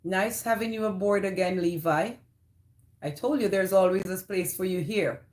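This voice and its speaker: A woman's voice: medium pitch, moderate pace, a little mellow. Jamaican woman hosting a chatty livestream. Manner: greets viewers by name, friendly and chatty. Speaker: livestream host. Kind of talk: casual live chat reading viewer comments.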